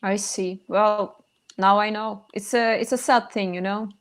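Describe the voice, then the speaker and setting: A young woman from northern Russia with a Russian accent, answering interview questions in a halting, thoughtful way with many fillers, over a video call. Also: mid-range voice, steady tone. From Sakha Republic, northern Russia. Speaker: singer in a metal band, guest.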